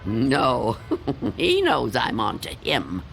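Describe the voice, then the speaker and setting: An actress playing a frightened, reclusive old woman in a radio drama, speaking in a halting, insistent, theatrical way. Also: a bass voice, wildly swooping pitch, gravelly, full character voice. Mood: bitter, agitated. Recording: loud music under the voice.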